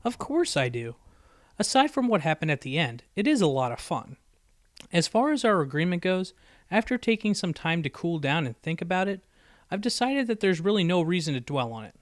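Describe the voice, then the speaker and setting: Guy doing a nerdy unicorn girl in a story reading, bit snippy, explains things precisely, highish voice. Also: big pitch swings, brisk, no room sound, clean studio sound. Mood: calm, friendly. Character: bookish, exasperated young female unicorn pony. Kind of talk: acted audiobook dialogue.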